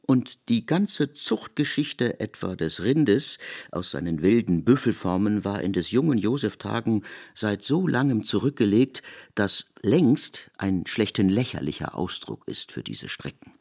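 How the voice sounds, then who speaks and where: An actor playing the narrator of an ancient biblical saga in a radio play, with measured, ornate phrasing. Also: male voice, lowish voice, sing-song, creaky, a little mellow, heavily acted. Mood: measured, erudite.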